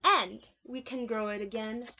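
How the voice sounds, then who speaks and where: A woman with a fairly high voice speaks as a preschool teacher sharing a picture book. She explains things in simple, instructive phrases and puts questions to the young listeners. The recording is somewhat dull and lo-fi. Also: steady tone, a little slow, sharp tone, a little hiss or hum. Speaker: preschool teacher reading aloud.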